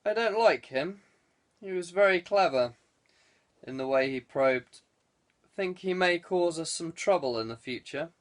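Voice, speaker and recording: A man's voice: a little high, lively intonation, a little slow, pauses often. A man voicing a clever, guarded man who speaks with courteous evasiveness in an audiobook. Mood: wary. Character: guarded, clever man fending off police questions. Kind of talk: audiobook character dialogue.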